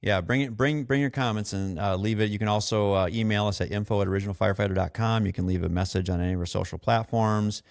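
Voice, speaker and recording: A man's voice: fairly low pitch, steady tone, fast, creaky, few pauses, dry recording, studio quality. An American man hosting a podcast, giving a casual, opinionated rant in a blunt, exasperated manner that includes swearing. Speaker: podcast host.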